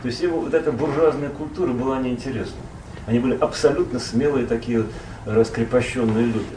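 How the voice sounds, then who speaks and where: Man, interview guest, meandering storyteller, middle pitch, roomy echo, background noise. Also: brisk, dark tone, distant mic. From Russia. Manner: rambling, with many fillers and self-corrections. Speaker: interview guest, poet and translator. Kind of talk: relaxed storytelling in conversation.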